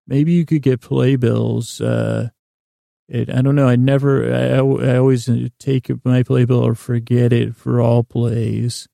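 A man hosting a bedtime podcast in a rambling, whimsical way, halting and repeating himself, close to the mic in a small closet. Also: medium pitch, flat delivery, gravelly, mellow, dry recording. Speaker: podcast host. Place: small closet used for recording. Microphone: close mic in a small recording closet.

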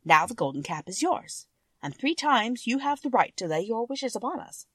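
A woman voicing a cheerful winged monkey king, telling his band's history in an expressive storybook manner. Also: on the high side, moderate pace, slightly rough, no room sound, full character voice. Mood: explanatory. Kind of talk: children's storybook character narration.

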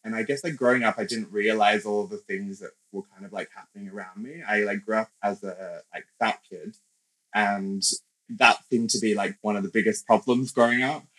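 A man's voice: lowish voice, steady tone, normal speed, fairly bright tone, rough recording. A young man from Australia, a podcast guest speaking reflectively in casual interview talk with many fillers.

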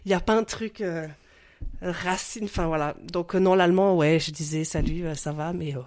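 Invitée suisse romande, la trentaine, débit animé et rieur, voix grave au son très sec. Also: female voice, lowish voice, moderate pace, dry recording. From French-speaking Switzerland. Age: 30s. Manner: lively, laughs often, restarts. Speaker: interview guest. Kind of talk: casual chat.

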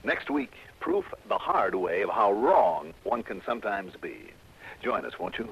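An actor playing a wry, confident insurance investigator with punchy, theatrical phrasing in a 1960s radio detective drama. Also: male voice, lowish voice, sing-song, measured pace, vocal fry, slightly rough, exaggerated voice. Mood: jaunty. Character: wry, confident male insurance investigator narrating his case. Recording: muffled old radio with loud music.

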